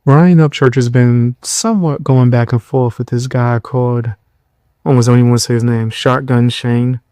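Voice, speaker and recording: A tired young man hosting a podcast, giving blunt, mocking commentary in loose slang with a low voice. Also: fairly even intonation, moderate pace, mellow, dry recording, studio quality. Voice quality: lower voice. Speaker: podcast host. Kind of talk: casual commentary.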